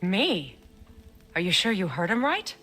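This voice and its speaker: An actress playing a powerful, regal witch in a cinematic game, guarded and commanding in a firm, measured manner. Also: mid-range voice, big pitch swings, fairly bright tone.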